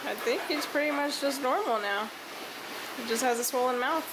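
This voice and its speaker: A woman's voice: high-pitched, normal speed, very noisy. A woman chatting casually from behind the camera in a home vlog. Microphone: behind the handheld camera. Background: music.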